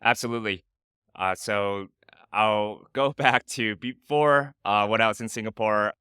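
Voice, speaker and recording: Crisp-voiced man, bright and clear. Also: on the low side, measured pace, no room sound, clean studio sound. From Michigan, United States. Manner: thoughtful, many "uh" fillers. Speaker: guest. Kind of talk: casual interview conversation.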